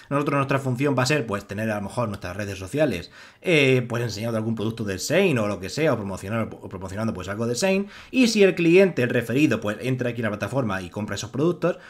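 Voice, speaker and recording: A young man with an accent from Spain narrating a screen-recorded tutorial, rambling with many fillers and restarts. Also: medium pitch. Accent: Spanish from Spain. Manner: rambling, heavy use of filler "pues" and restarts. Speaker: online money-making tutorial host.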